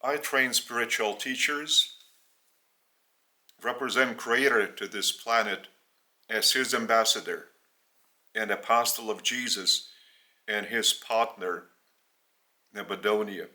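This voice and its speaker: Slow-speaking Lithuanian man preaching. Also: lowish voice, bright, forceful tone. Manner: slow, halting delivery in short phrases. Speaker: spiritual teacher. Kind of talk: spoken religious teaching in English.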